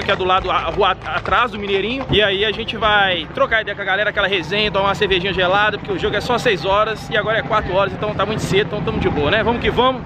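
A young man vlogging on a busy street on the way to a football match, talking fast in joking slang to the camera held at arm's length. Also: high voice. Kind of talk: excited casual vlog chatter. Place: outdoors on a busy street near a stadium. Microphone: handheld camera at arm's length. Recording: outdoor street noise. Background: street noise, passing fans.

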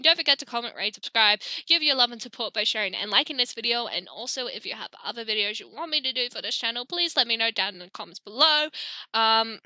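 A woman hosts a casual let's play with a high, very bright voice. She chats and grumbles in an exasperated way, slightly hoarse. The recording is close and dry. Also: dry recording. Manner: exasperated, chatty, reads game text aloud. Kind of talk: casual gameplay commentary.

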